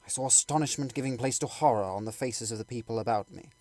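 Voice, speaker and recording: A man reading a classic novel aloud as a dramatic audiobook, with theatrical, suspenseful and deliberate phrasing. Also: medium pitch, darkish tone, no room sound. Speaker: audiobook reader.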